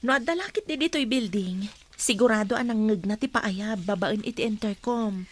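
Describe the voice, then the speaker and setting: A woman's voice: mid-range voice, moderate pace, natural voice. An Ilocano-accented woman playing a polite, deferential office employee in a radio drama. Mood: matter-of-fact. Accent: Filipino (Ilocano).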